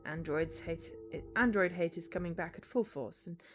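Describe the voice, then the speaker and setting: A woman's voice: fairly low pitch, moderate pace, soft background music, lightly acted. A woman voicing a guarded, businesslike female investigator in a visual novel, questioning and matter-of-fact. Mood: serious, matter-of-fact.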